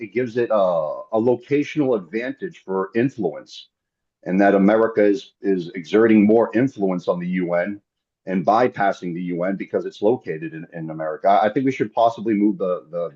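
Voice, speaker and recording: A man's voice: lowish voice, a little mellow, slightly rough. A man giving informal political remarks as a panel speaker, earnest and emphatic, often repeating his points. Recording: dull lo-fi online call audio.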